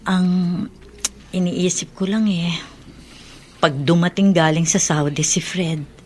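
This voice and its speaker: An actress playing a warm, motherly middle-aged aunt in a Filipino radio drama, chatty and affectionate. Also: on the low side, slow pace, a little hiss or hum. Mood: fond, concerned.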